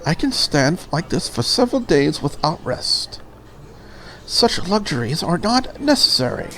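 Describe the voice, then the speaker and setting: Visual novel dragon girl ambassador, played by a woman: proud, rigid, formal, grandiose, with a lively pitch range. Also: measured pace, a little hiss or hum, full character voice, low-quality recording. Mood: stiff, blunt. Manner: stiff, formal, solemn declarations. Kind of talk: visual novel character read aloud.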